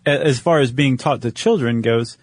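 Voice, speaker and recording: A man's voice: medium pitch, normal speed, no room sound, clean studio sound. An American man talking casually as a podcast co-host. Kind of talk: casual conversational podcast talk.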